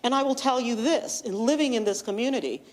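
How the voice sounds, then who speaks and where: A woman with a loud voice giving a lively, laughing presentation as a board member at a public meeting. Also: a little high, brisk. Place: township meeting room.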